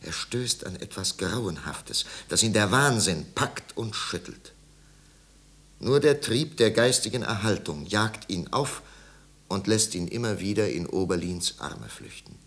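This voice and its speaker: A man's voice: lowish voice, animated, a little slow, a bit bright. A man narrating a literary tragedy in a 1950s radio play, grave and intense, with careful articulation. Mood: somber.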